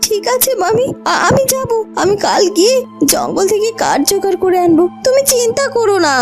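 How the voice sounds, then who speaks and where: Sweet obedient little girl for fairy-tale cartoon woman acting, tiny high mellow voice, pleading, faint music. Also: big pitch swings, fairly quick, full character voice. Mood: meek, willing. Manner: soft, pleading, earnest. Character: gentle, obedient young orphan girl, sweet and forgiving.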